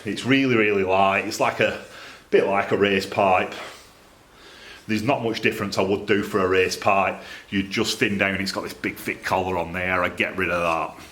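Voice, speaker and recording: A man's voice: sits low, fairly even intonation, normal speed, fairly bright tone. A man with a Northern English accent talking casually to camera in a workshop, informal and rambling as he thinks aloud. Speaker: exhaust fabricator and video presenter. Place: indoors in a small cluttered workshop. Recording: roomy, echoey workshop sound.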